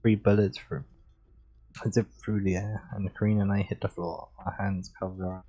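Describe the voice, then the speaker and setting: Husky, mellow male game streamer. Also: sits low, moderate pace, muffled recording. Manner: relaxed, chilled. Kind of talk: reading visual novel narration aloud on livestream.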